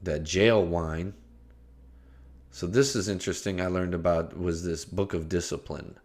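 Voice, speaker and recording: A man's voice: low voice, fairly even intonation, unhurried, a little mellow. A man streaming casual commentary, joking and rambling, often trailing off, restarting and scatting nonsense syllables. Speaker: streamer reacting to a video.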